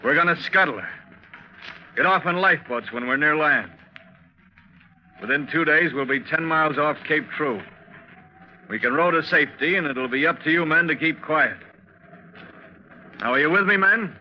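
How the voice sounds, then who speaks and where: A man's voice: a little high, moderate pace, pauses often, a little hiss or hum, natural voice. An actor playing a haunted, determined seaman telling his tale in a 1940s radio drama, earnest and dramatic. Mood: urgent persuasion. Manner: dramatic, earnest, vivid storytelling. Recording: dull 1940s radio recording.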